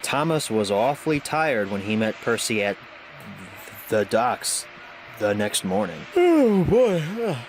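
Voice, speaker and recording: A man narrating a children's story in a measured storytelling manner. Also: lowish voice, a little hiss or hum. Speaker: amateur story reader.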